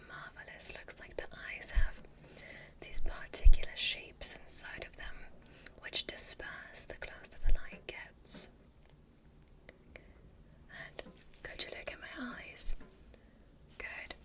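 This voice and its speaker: Woman to play courteous alien space doctor for ASMR roleplay; hushed whisper, unhurried, soothing instructions. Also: lightly acted. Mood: calm, curious. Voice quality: soft breathy whisper. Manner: slow, soothing, gently instructing. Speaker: ASMR performer.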